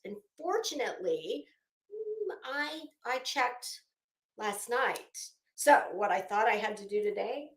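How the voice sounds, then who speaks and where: A woman's voice: high voice, fairly bright tone. A woman chatting casually as a livestream host, rambling and theatrical, often breaking off mid-thought and starting again. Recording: echoey room sound.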